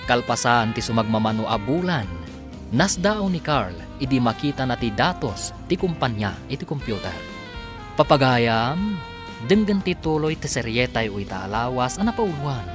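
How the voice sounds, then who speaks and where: A male narrator reading a radio drama's opening credits and recap in a measured announcing manner. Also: mid-range voice, very animated. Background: theme music.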